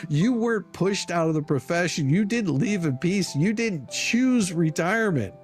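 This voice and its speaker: A man's voice: high-pitched, expressive, normal speed. A man giving a dramatic, scathing reading of an open letter in a mocking, theatrical, profanity-laced way. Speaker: online commentator. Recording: music under the voice. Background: music.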